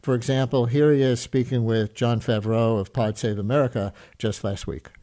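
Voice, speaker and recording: Measured male radio host. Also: medium pitch, steady tone, mellow. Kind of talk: public radio segment narration.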